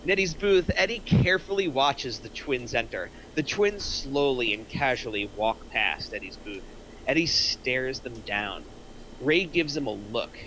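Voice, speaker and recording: A man's voice: medium pitch, expressive, a little slow, fairly bright tone, slight background noise, rough recording. A man reading scripted stage directions aloud in narration at a screenplay table reading.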